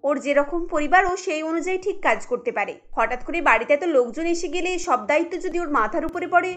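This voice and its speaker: A woman's voice: sits high, a bit bright. A woman voicing a kind, outspoken elder sister-in-law in a cartoon, assertive and reasoning. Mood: firm, reasoning. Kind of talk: Bengali cartoon story acting.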